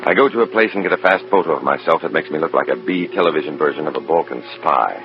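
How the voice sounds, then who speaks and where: Deep-voiced, sardonic undercover informant. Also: male voice, quick pace, faint music behind. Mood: bitter, wry. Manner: sardonic, hard-boiled. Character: wry, bitter male undercover FBI informant. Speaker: narrator and protagonist. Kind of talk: old-time radio drama acting. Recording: dull, muffled old radio recording.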